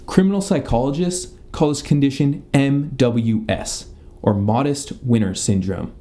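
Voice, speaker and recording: Mellow deadpan detective played by a young man. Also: mid-range voice, a little slow, close to an everyday voice. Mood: officious. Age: young adult. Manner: deadpan, officious. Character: deadpan, officious male detective interrogating a suspect. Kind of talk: comedic radio play acting.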